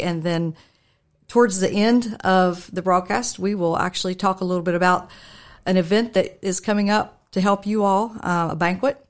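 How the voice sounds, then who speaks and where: A middle-aged woman pastor hosting a radio talk show, speaking gently and unhurriedly with frequent ums. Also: mid-range voice.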